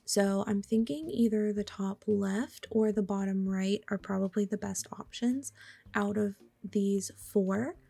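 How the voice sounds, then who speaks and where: A young woman talks through a cozy game in a relaxed, mellow voice. Her tone is raspy and a bit hoarse because she is under the weather, with a gravelly fry at phrase ends. She chats on softly and now and then drops to a whisper. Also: medium pitch, a little slow. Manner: soft-spoken, chatty, trails into whispers. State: slightly hoarse from a cold. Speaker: gaming vlogger. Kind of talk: casual gameplay commentary.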